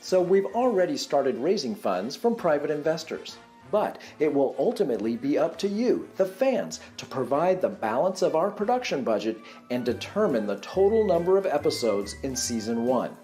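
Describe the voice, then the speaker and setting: A man's voice: on the high side, sing-song, normal speed, few pauses, warm tone, faint music behind. A man delivering scripted promotional narration as a campaign presenter. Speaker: campaign presenter and film maker.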